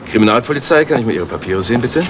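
Man acting curt police officer, rapid clipped orders, commanding, crime radio play, noisy outdoor street. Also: medium pitch, expressive, natural voice. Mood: stern, commanding. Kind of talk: 1980s German crime radio drama acting.

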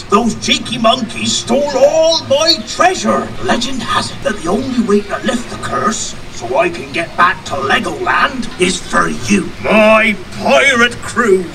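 An actor playing a jolly, boisterous pirate captain with hearty pirate bluster, heard through ride speakers from afar over music. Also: male voice, high-pitched, vocal fry, far from the mic, full character voice, low-quality recording.

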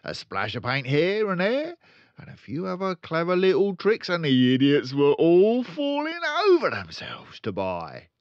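A man acts out a storybook father who is a boastful, crooked car dealer. He is loud, bossy and emphatic, with a raised pitch and lively swoops. The sound is a little dull. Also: unhurried, no echo. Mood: smug, boastful. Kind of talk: children's storybook character voice.

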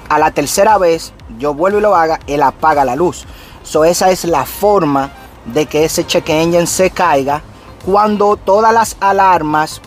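A man in his thirties, a trucker vlogger, explaining step by step straight to his viewers from the cab of his parked truck. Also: on the high side. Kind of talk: casual how-to vlog. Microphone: camera on the dashboard facing him.

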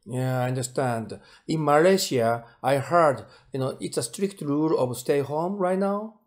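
A man's voice: on the high side, measured pace. A Japanese man with a Japanese accent, a native Japanese speaker, interviewing in a casual, hesitant way.

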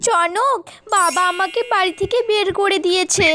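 A woman's voice: very high voice, normal speed, voice pushed up. A woman voicing a lovestruck, stubborn young female cartoon bird, coy and pleading, in a children's story. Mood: hurt, earnest. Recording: music behind the voice.

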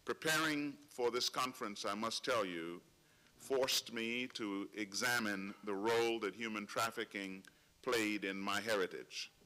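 A middle-aged man from Trinidad, seated at a panel table, delivering a prepared speech in measured, solemn tones with slow emphatic pauses into a table microphone. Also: lowish voice, bright, forceful tone, rough recording. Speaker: conference speaker. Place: large conference hall, seated at a panel table.

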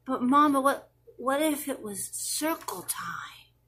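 A woman's voice: high voice, slow, bright, forceful tone, voice pushed up, heavily acted. A woman voicing an anxious, imaginative little schoolboy who rambles through what-if questions in a children's storybook. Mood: eager, wondering. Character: anxious, imaginative little school-age boy pestering his mother. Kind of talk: expressive children's storybook reading.

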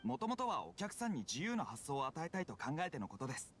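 Eager, quick-talking young vendor. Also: male voice, on the high side, huge pitch swings, a bit bright, a little hiss or hum. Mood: eager, polite. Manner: deferential, helpful. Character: polite, eager young male food-stall vendor. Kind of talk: Japanese anime game acting.

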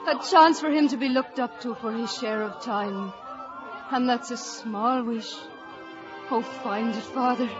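An actress playing a devoted young Irish village lass in an old-time radio drama. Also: female voice, on the high side, measured pace, music in the background, crowd noise, close to an everyday voice. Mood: earnest. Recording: dull old radio recording.

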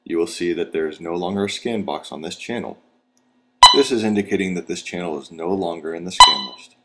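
A man reading scripted instructional narration for a training video in a measured, step-by-step, plain manner. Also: deep voice, very noisy.

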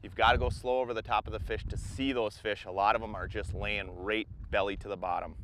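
A man's voice: fairly low pitch, fairly even intonation, fast, noisy background. A man in his forties, a fishing guide, giving an upbeat, chatty report to camera from an open boat on a lake, recorded on a lapel mic. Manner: upbeat, chatty, explaining as he fishes. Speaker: fishing guide and show host.

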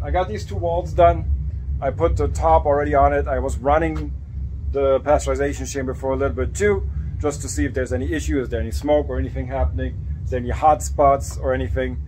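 Farm vlogger, male, thirties, hoarse from coughing, casual and chatty, phone mic in a workshop. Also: mid-range voice, a little mellow, a little hiss or hum, rough recording. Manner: chatty, explaining as he points around. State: raspy from coughing. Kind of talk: casual vlog to camera. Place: cluttered indoor workshop. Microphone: phone camera at arm's length.

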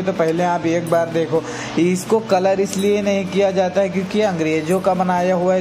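A young man chats freely to his viewers as he walks the streets at dawn, holding his phone out at arm's length. His voice sits a little on the high side and is friendly and talkative. Music plays clearly underneath his words. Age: young adult. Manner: chatty, addresses viewers as bhai and yaar. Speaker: vlogger. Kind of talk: casual vlog narration. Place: outdoors on city streets and at a railway station at dawn. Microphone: phone held at arm's length.

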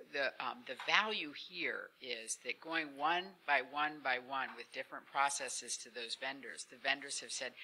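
A woman's voice: rumbling low, sharp tone. A woman speaking unscripted as a conference panelist, earnest and emphatic, repeating key phrases, recorded in a conference room. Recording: noticeable room noise in conference hall.

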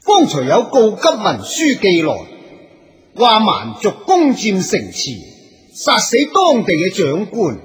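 An actor playing a stately, commanding emperor in a radio drama, with formal, imperious phrasing. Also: male voice, high voice, wildly swooping pitch, slow, heavily acted. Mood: stately, deliberating. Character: stately emperor holding court, measured and commanding. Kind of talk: Cantonese radio drama acting. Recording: long hall reverb.

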